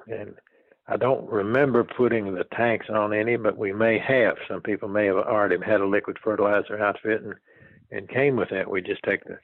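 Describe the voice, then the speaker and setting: Low-voiced man in his eighties, folksy and halting. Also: no room sound, phone-quality sound. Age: 80s. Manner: halting, folksy, repeats words and phrases. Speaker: interviewee, retired farm machinery dealer. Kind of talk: reminiscing in casual interview.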